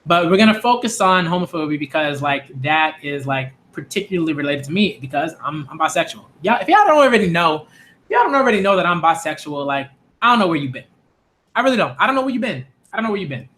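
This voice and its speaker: A man's voice: a little high, very animated, fast, fairly bright tone, a little hiss or hum. A man in his late twenties, a channel host talking straight to his viewers in an emphatic, repetitive, exasperated way. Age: 20s. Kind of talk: direct-to-camera rant.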